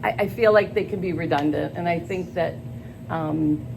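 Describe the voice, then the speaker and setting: Gravelly older woman, earnest and halting. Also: fairly low pitch. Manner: earnest, halting with many ums. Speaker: school staff job candidate. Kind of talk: job interview answers. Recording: noisy background.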